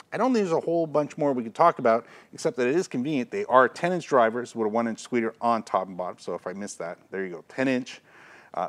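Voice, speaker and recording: A middle-aged man giving a casual, plainspoken product demonstration. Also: medium pitch. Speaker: product reviewer and retailer. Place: cluttered audio gear shop, indoors. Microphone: lavalier on shirt, condenser beside camera.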